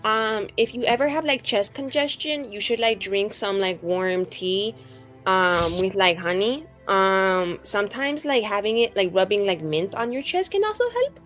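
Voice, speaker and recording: A woman's voice: medium pitch, expressive, moderate pace, fairly bright tone, faint music behind, lightly acted. A woman playing a bubbly, vain, scatterbrained young adventurer in a tabletop roleplay, rambling breezily with lots of "like". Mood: breezy, chatty. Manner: rambling, heavy use of "like", breezy.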